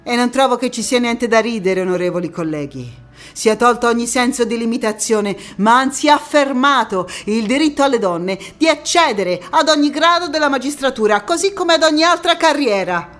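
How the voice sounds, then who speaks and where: A woman's voice: a little high, lively intonation, normal speed. An actress performing a theatrical monologue as a firm, young female politician who moves between oratory and intimate recollection. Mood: impassioned, indignant. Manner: impassioned oratory alternating with quiet intimate narration. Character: young female Constituent Assembly deputy, firm, indignant, reflective, ironic. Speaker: stage actress.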